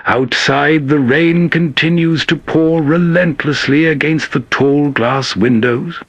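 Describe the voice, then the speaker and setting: A man narrates atmospheric scenes at a slow, deliberate pace. His voice is slightly high and recorded dry and close, as a scripted host. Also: dry recording, dull audio.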